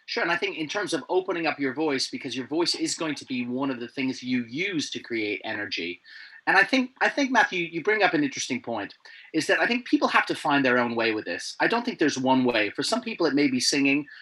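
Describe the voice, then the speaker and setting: A man being interviewed over a video call. He talks in a playful, animated way with many restarts. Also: mid-range voice, brisk, slightly rough. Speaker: guest, presenter coach. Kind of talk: remote interview chat.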